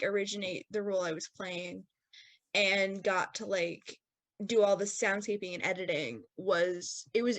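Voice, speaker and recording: A woman's voice: medium pitch, no room sound. A woman chatting casually as a podcast actor and sound designer, explaining things in a rambling, self-correcting way. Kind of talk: casual panel chat, post-show commentary.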